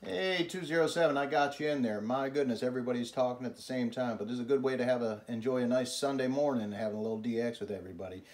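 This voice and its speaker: A man's voice: medium pitch, fast talker, few pauses. A man working as a CB radio operator, making casual, polite contact calls and reading out call signs in radio patter. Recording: very noisy radio static, clicks. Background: loud CB radio static.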